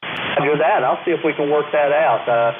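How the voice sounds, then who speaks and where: A man's voice: fairly high pitch, normal speed. Male ham radio caller heard through a thin, phone-like band with light noise; polite, easygoing, rambling conversational delivery. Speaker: amateur radio operator calling in. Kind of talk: casual on-air radio conversation. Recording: narrow-band noisy amateur radio link.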